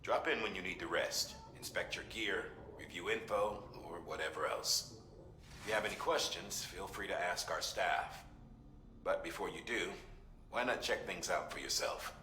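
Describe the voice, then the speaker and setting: A brisk, helpful male official in a video game, briefing someone in an informal, matter-of-fact way. His low voice has a bright, forceful edge. It rings with echo over faint noise. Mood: matter-of-fact, instructive. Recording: echoing sound with faint background noise.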